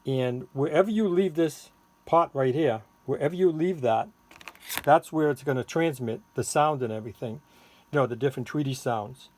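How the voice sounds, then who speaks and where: A man giving a casual hands-on product demonstration as a seller, halting and thinking aloud while he works the controls. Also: mid-range voice, darkish tone. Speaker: seller demonstrating a homemade electronics gadget. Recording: clearly noisy, with electronic tweets and siren-like tones.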